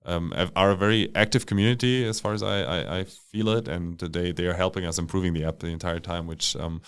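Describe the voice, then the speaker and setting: A man speaking as a startup founder and interview guest, casually, with halting phrasing and repeated words. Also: sits low, a bit bright, smooth, no echo.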